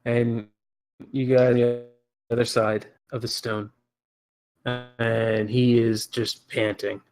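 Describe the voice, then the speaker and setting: A man narrating as game master of a tabletop game, relaxed and halting, with frequent fillers. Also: mid-range voice, poor audio. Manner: relaxed, hesitant with many fillers. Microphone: headset or desk mic on a voice call.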